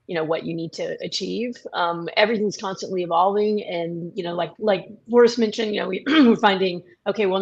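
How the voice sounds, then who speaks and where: A woman talks casually as an interview guest, offering advice. Her voice is husky and a little low, and the recording is slightly dull and lo-fi. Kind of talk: casual advisory talk.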